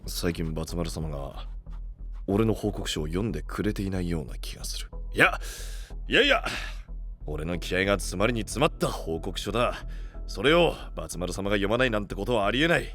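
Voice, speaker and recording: An actor playing a gruff, boastful but soft-hearted young knight boss in an anime audio drama, talking in rough, brash slang. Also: male voice, low-pitched, very animated, brisk, soft background music, full character voice. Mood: grumbling, self-assuring. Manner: rough, brash slangy talk, muttering to himself.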